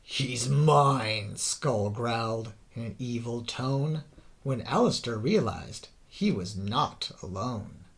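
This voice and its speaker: A man narrating a rhyming children's story in a theatrical, deliberate way, drawing out the ends of phrases. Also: medium pitch, lively intonation. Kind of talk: dramatic scripted children's story narration in rhyme.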